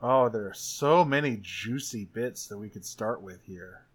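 A man's voice: on the low side, unhurried, breathy edge. A man chatting casually and playfully as he improvises a story in a tabletop role-playing game. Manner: playful, joking. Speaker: tabletop game player and podcaster.

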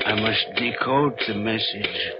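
An actor playing a deluded, haunted, overwrought station master in 1940s radio drama style, on a dull old recording. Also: male voice, on the low side, fairly even intonation, unhurried, loud music behind the voice, natural voice. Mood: fixated. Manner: obsessed, overwrought.

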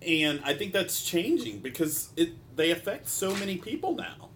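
A man with a stopped-up nose hosting a solo podcast in an informal, halting and discursive way, often drifting into asides. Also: sits high, very animated, fairly bright tone, slight background noise. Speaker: podcast host, mental health practitioner.

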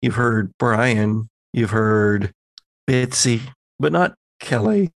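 A man's voice: medium pitch, animated, slow pace, creaky, no echo, clean studio sound. A man, narrating a tabletop horror roleplaying game as game master.

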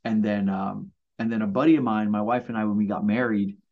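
A man in his forties, born in Puerto Rico and raised in Brooklyn, chatting as a mortgage loan officer and telling animated stories with many fillers. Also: on the low side, fairly quick, narrow, tinny audio. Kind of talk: casual storytelling interview.

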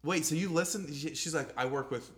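A man's voice: fairly high pitch, a little fast, fairly bright tone. Casual rambling young podcast co-host, a man. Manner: rambling, swears often, laughs easily. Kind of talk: casual chat.

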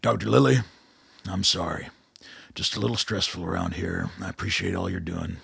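Grumpy army colonel in a radio play, super raspy low voice, blunt, dry close sound. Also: male voice, huge pitch swings, moderate pace, a bit bright, dry recording, heavily acted. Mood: placating. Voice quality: very gravelly, husky. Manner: blunt, irritable, swearing. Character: gruff, foul-mouthed army colonel, short-tempered but shrewd. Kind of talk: audio drama acting.

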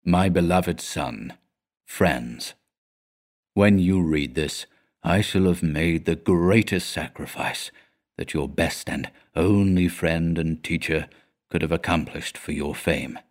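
A solemn old teacher as a male narrator reads him speaks very low and hushed. He is devoted and grave, delivering a deliberate farewell in a gothic storybook. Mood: solemn, tender.

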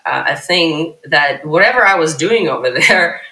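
A woman in her thirties talks animatedly as a podcast guest, winding through long sentences with plenty of fillers. Her voice is bright and sharp. It rings in a noticeably echoey room. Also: deep voice, moderate pace. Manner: animated, rambling, many fillers and restarts. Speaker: startup CEO guest. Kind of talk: casual podcast interview.

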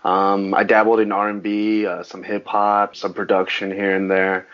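A man whose first language was Mandarin talks in a loose, rambling way, stumbling over restarts. His voice sits low. The podcast audio sounds dull and lo-fi. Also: normal speed. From Southern California. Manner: rambling, frequent restarts, animated on sports. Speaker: guest, actor and musician. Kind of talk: casual interview chat.

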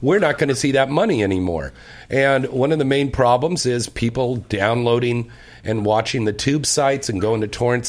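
Lively jokey radio host. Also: mid-range voice. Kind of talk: casual radio talk show.

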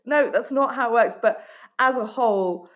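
A woman's voice: on the high side, moderate pace, fairly bright tone. A woman from Hong Kong, working as a life coach and author, giving direct and emphatic answers in a podcast interview.

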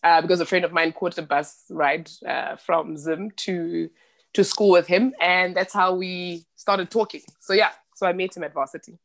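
A woman's voice: lowish voice, steady tone, normal speed, vocal fry. A woman in her late thirties who grew up in Zimbabwe, a podcast guest talking in a thoughtful, rambling way with many restarts. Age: 30s. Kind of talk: casual interview chat.

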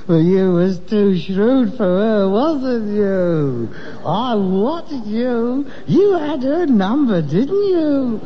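A man's voice: sits high, lively intonation, extremely slow, hardly any pauses, exaggerated voice. An actor playing a rag-and-bone man's middle-aged son in a radio sitcom, coaxing and wry. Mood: soothing, wry. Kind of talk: 1960s British radio sitcom acting. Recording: muffled, reverberant old radio recording.

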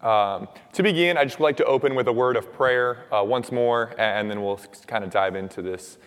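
A young man with a hoarse, raspy voice preaching and praying in casual, earnest words with many fillers. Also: medium pitch, fairly quick. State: hoarse from shouting at camp. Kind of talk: sermon with prayer. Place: church hall. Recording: long reverb of a church hall.

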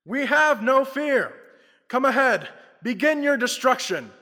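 A man's voice: extremely high pitch, sing-song, a little slow, pushed and bright, full character voice. A man playing a bold, suave crime-fighting investigator in an old-time radio drama, speaking with theatrical confidence. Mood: bold, urgent. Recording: long reverb with faint music.

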